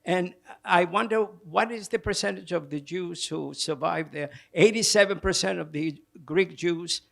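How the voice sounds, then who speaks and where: High, creaky-voiced man asking questions. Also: unhurried. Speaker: audience member asking a question. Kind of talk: spontaneous question from the audience. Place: live public event.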